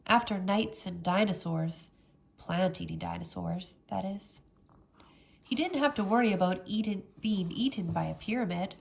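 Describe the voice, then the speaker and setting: A woman reading a children's storybook aloud as a teacher, with expressive, dramatic narration. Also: medium pitch, normal speed, gravelly.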